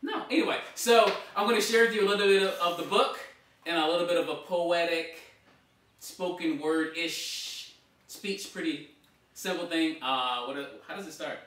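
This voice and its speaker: Bright, animated man in an echoey room. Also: fairly high pitch, moderate pace, far from the mic. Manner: animated, gesturing with both hands. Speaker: blogger and author. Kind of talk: casual vlog talk to camera. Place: indoor dining room.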